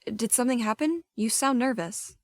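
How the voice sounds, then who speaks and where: An actress playing a steady, frank woman police captain with a dry manner, in an audio drama. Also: on the high side, normal speed, no room sound, close to an everyday voice. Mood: curious, concerned. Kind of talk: audio drama acting, naturalistic dialogue.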